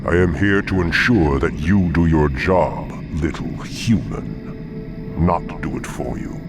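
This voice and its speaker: A gravelly demon dreadlord. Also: male voice, a bass voice, over music, exaggerated voice. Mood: menacing. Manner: slow, condescending. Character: scheming demon dreadlord, male, cold and menacing. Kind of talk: video game cutscene acting.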